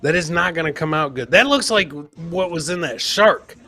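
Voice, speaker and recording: A man's voice: fairly high pitch, lively intonation, moderate pace, sharp tone. A man hosting a casual comedy show, commenting on clips and often repeating phrases for emphasis. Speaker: podcast host.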